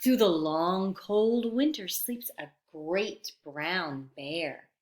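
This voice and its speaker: A woman reading a picture book aloud to young children in an expressive, teacherly manner, pausing to explain words. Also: fairly low pitch. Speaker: storytime reader for young children. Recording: roomy, echoey sound.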